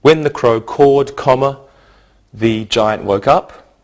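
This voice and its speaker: A man teaching English grammar in a scripted lesson, speaking in a deliberate, didactic way and setting out each point in short phrases. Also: medium pitch.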